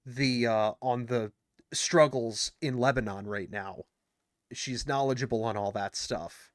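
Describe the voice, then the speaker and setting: A man's voice: mid-range voice, no room sound, studio quality. A man commenting on clips in a casual, indignant way, thinking aloud with lots of fillers and restarts. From United States.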